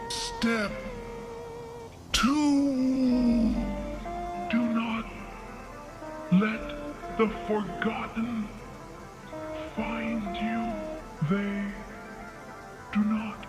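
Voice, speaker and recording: Woman as creepy solemn angel doing a survival tutorial, super slow and halting, music playing under it. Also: a little high, rough recording. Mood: solemn warning. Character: solemn, otherworldly angel giving dire survival warnings. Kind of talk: slow eerie instructional narration. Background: music, clearly heard.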